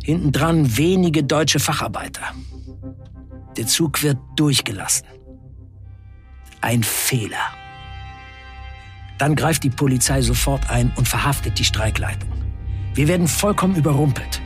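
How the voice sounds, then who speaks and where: A man playing a defiant 1970s factory worker on strike, recounting events in a deliberate, declamatory radio play narration. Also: medium pitch, soft background music, lightly acted. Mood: tense, indignant. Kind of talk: dramatic first-person radio play narration.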